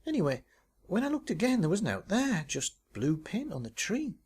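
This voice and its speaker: A man's voice: sits high, huge pitch swings, moderate pace, a little mellow, breathy edge, pitched above the performer's own voice, full character voice. A man voicing a chatty, naive young woman with a colloquial, exclamatory way of talking. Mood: chatty, excited. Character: chatty, giggly young woman paintball player, naive and blunt.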